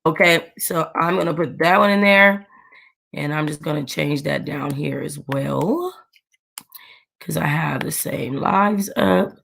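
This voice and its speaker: Female online host, lower register, unhurried delivery, informal and chatty. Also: creaky. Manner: informal, thinking aloud. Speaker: online book club host and channel creator. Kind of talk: casual livestream-style chat to followers.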